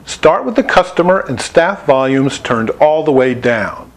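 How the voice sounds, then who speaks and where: A man with a medium-pitched voice narrates a scripted tutorial. His intonation moves up and down in a lively way as he lays out plain, step-by-step instructions in the role of an instructor. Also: measured pace. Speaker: instructor presenting an installation tutorial.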